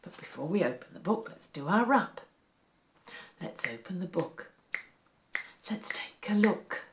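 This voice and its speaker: A woman reading a Bible story aloud for children, with a deliberate, animated storytelling delivery. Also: fairly low pitch, background noise.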